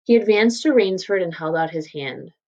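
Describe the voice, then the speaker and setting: A woman with a slightly low voice reads a story aloud as a teacher would. She adds informal, explanatory commentary as she goes. The recording is a little dull and lo-fi. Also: normal speed, darkish tone. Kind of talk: read-aloud lesson with casual explanatory commentary.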